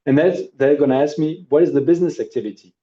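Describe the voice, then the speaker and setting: A middle-aged man teaching a workshop over a video call, demonstrating a service in a relaxed, conversational way with hesitations and asides. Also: a little high, fast talker. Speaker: workshop instructor. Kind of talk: live online training demo. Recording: dull lo-fi video call audio.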